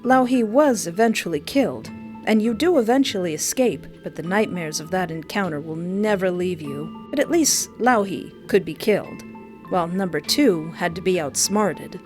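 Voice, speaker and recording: A woman's voice: mid-range voice, a little mellow. Dramatic woman narrating a countdown over music. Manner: dramatic, emphatic storytelling. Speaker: host of a countdown video.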